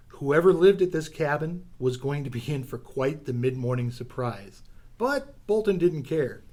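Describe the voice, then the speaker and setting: A man's voice: medium pitch, a little mellow. A man narrating a radio adventure serial in a theatrical, suspenseful storytelling style.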